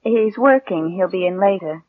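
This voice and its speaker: An actress playing a cool, possessive, murderous niece in a radio suspense drama, acting and narrating with composed, deliberate control. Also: female voice, mid-range voice, lively intonation, no echo, lightly acted. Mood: coolly polite. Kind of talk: 1940s-50s American radio suspense acting and first-person narration. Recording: tinny old radio recording with noise.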